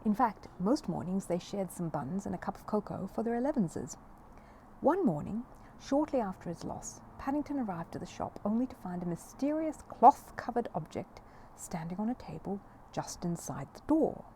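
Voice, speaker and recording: Woman, children's story narrator, soft mellow tone, expressive delivery, noticeable background noise. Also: medium pitch, normal speed, low-quality recording. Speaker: audiobook reader.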